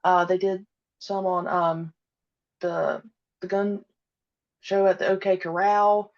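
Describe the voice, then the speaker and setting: A woman's voice: on the low side, flat delivery, slow pace, dull audio. A woman being interviewed about her podcast, talking casually and hesitantly with many "uh" and "um" fillers. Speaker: podcast guest, audio drama creator.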